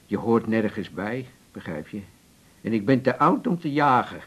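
An actor playing a jovial older man in a Dutch radio drama, folksy and persuasive, with a gravelly, husky voice. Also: fairly low pitch, big pitch swings, moderate pace. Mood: enthusiastic, persuasive. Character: jovial, coaxing older man courting a companion.

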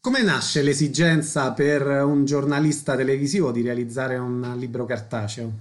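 A man's voice: medium pitch, steady tone, moderate pace. A man working as a radio interviewer, putting prepared questions to guests.

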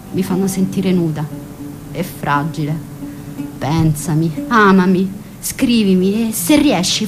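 A woman's voice: medium pitch, close to an everyday voice. A woman playing a passionate teenage girl who longs for her distant sweetheart, reading love letters intimately in a radio drama. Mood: pleading, loving. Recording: music under the voice.

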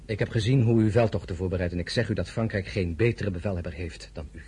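An actor playing a principled, stern French republican general in an audio drama, speaking firmly and formally. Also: male voice, sits low, quick pace, mellow, a little hiss or hum, lightly acted. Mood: firm, defiant.

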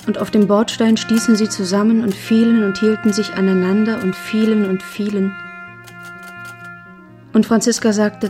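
A woman narrator reading scripted literary prose in a measured storytelling style. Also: mid-range voice. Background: plucked guitar music.

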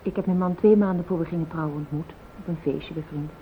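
A woman's voice: fast talker, lightly acted. Actress as loyal, anxious married woman, formal and insistent, radio drama, narrow-band sound with background hiss. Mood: composed, worried. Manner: formal, insistent, easily indignant. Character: anxious, fiercely loyal young wife. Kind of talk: radio play acting. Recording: tinny old radio recording, hiss, rumble.

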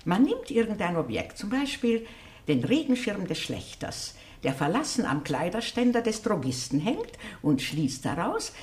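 An actress playing a shrewd, inquisitive elderly spinster sleuth in a radio drama, gossipy and slyly probing. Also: female voice, mid-range voice, big pitch swings, normal speed, darkish tone, close to an everyday voice. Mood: sly, self-assured.

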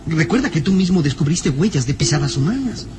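A man's voice: on the high side, very animated, brisk, very noisy. A man playing a small, nervous, curious boy sidekick, earnest and hesitant, in an old radio serial style. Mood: fearful, questioning.

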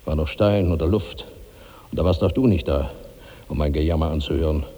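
A man's voice: rumbling low, a little fast, muffled recording. An actor in a 1960s German radio drama playing a huge, heavy old man tormented by bottomless hunger, in declamatory, incantatory repetition. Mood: anguished, brooding. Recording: long hall reverb on old radio recording.

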